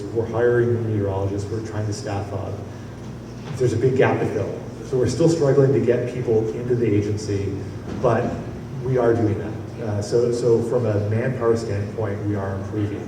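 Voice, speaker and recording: A man's voice: on the low side, steady tone, normal speed. A man from the weather service answering on a conference panel, rambling with restarts and small chuckles. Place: conference hall. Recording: echoey hall from far off, noisy.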